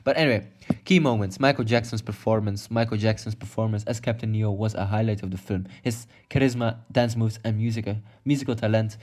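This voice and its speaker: Rambling Belgian-accented man, low voice. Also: steady tone, a little fast, a little mellow. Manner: rambling, many fillers and restarts, laughs often. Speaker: YouTube commentator. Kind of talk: casual video commentary partly read from notes.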